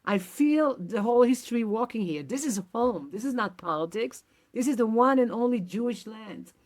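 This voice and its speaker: Animated woman from Belgium telling stories. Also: on the high side, normal speed. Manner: animated, acts out quoted dialogue, frequent restarts. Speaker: activist guest. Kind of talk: conversational storytelling.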